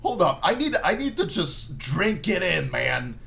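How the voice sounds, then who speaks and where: A man giving expressive let's play commentary, reading visual novel text aloud and adding his own drawn-out, enthusiastic asides. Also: fairly high pitch, creaky. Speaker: gaming YouTuber. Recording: noticeable background noise.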